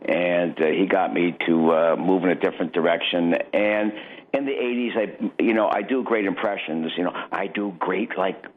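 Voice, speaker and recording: A middle-aged man talking casually as a radio interview guest, in a halting, rambling way with repeated starts. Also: low-pitched. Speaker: radio guest, political satirist and candidate. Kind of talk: casual interview talk, personal anecdotes. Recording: dull lo-fi radio audio.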